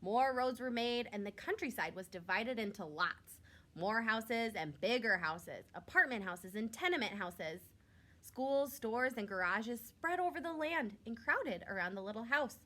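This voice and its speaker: A woman reads a children's picture book aloud in the style of a schoolteacher. Her pitch is lively and moves up and down a great deal. She is expressive and pauses for simple asides aimed at young listeners. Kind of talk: storybook read-aloud with short asides.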